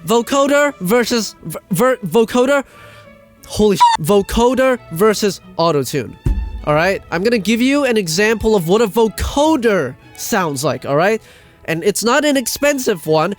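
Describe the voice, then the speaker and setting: A man's voice: high-pitched, very animated, moderate pace, soft background music. A man giving a casual ranting commentary as a video host, exasperated, emphatic and sweary, often repeating himself for stress.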